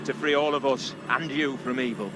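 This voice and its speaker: A man's voice: mid-range voice, fairly even intonation, normal speed, background noise, close to an everyday voice. A man playing a solemn, commanding 17th-century religious judge in a video game, condemning in formal archaic phrases. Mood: stern condemning. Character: stern, pious 17th-century witch-trial judge. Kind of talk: dramatic video game acting.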